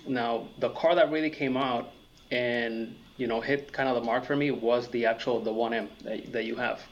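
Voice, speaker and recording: A man's voice: mid-range voice, fairly even intonation, moderate pace. A man from Puerto Rico telling personal stories in a casual, conversational way as an interview guest and car enthusiast. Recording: dull lo-fi audio.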